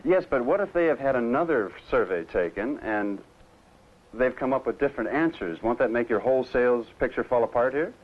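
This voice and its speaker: A man's voice: medium pitch, animated, brisk, close to an everyday voice. A man playing an eager, modest trainee salesman in a training film, inquisitive and deferential. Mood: hesitant, probing. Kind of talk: 1970 training film acting. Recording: muffled, hissy 16mm film soundtrack.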